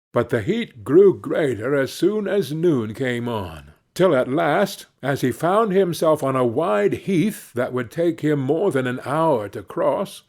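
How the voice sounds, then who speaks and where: A man narrating a fairy tale as a scripted reading, in a measured, expressive storytelling manner. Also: medium pitch.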